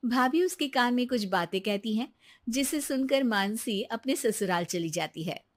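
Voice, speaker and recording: A woman's voice: a little high, animated, normal speed. A woman delivering scripted narration as the storyteller of a moral tale.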